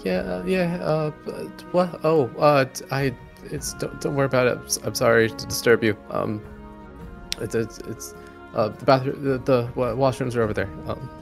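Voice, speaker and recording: A man's voice: medium pitch, moderate pace, creaky, faint music behind, voice pushed up, natural voice. A man voicing a scrawny, anxious guard who stammers, pleads and apologizes in a tabletop game. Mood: flustered, apologetic.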